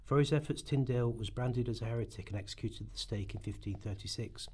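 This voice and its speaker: A man narrates history from a script for a radio play. He reads quickly, in a mid-pitched voice with a rough, husky edge. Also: darkish tone.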